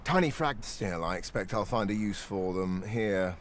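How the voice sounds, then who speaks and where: An actor playing a sly, learned crystal collector in a fantasy video game, coaxing and theatrically precise. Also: male voice, lowish voice, expressive, normal speed, a little hiss or hum, natural voice. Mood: sly, condescending.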